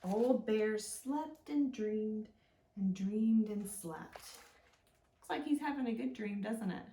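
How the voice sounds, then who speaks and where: Slow-paced woman reading to small children. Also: mid-range voice, a little hiss or hum. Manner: gentle, simple, encouraging talk aimed at small children. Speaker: children's librarian hosting storytime. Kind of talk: children's storytime read-aloud with questions to young listeners. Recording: roomy, echoing indoor sound.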